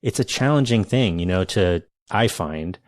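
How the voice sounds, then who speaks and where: Very deep-voiced hesitant male host. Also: animated, no room sound, clean studio sound. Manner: hesitant, halting with many fillers. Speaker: podcast host. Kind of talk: casual interview conversation.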